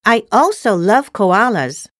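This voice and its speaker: A woman's voice: mid-range voice, very animated, takes it slowly, a bit bright, no room sound, studio quality. A woman acting a cheerful, eager student girl in a scripted listening-test dialogue, enunciating carefully like a textbook.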